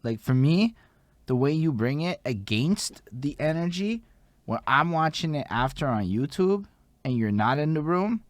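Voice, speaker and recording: A man's voice: a little high, sing-song, measured pace, dry recording. A man co-hosting a podcast, talking in a rambling, hesitant way with lots of fillers. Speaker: podcast co-host. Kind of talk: casual interview chat.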